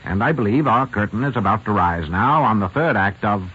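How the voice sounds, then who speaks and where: A man hosting and narrating a 1940s radio drama in a formal, measured, scripted style. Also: fairly low pitch, animated. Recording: thin vintage radio broadcast, phone-like.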